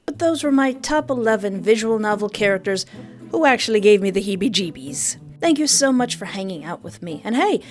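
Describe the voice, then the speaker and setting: A woman's voice: medium pitch, expressive, a little fast. Theatrical woman hosting over music. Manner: theatrical, dramatic emphasis on single words. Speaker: video host, visual novel streamer. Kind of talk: scripted video essay commentary with comic asides. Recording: background music under voice.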